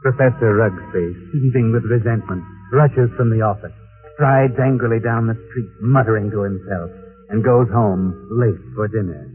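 An actor voicing a mysterious, ominous narrator figure in a 1940s radio drama, over music on an old, tinny broadcast. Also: male voice, on the low side, natural voice. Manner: slow, ominous. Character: mysterious adult male night-walking narrator, ominous.

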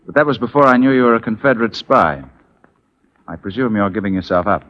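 An actor playing a confident, wry Union captain in a 1940s radio drama, nonchalant and dryly understated. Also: male voice, on the low side, lively intonation, fast talker, slight background noise, natural voice. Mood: dry, unruffled. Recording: muffled 1940s radio recording.

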